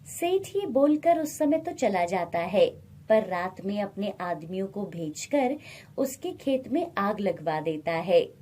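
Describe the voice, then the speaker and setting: A woman's voice: medium pitch, very animated, slow, dark tone. A woman storyteller reading a scripted moral bedtime story.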